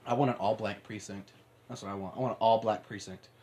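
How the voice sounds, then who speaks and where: A young man from upstate New York co-hosting a podcast, talking excitably, laughing often and swearing. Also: fairly low pitch, fast. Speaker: podcast co-host. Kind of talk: casual chat.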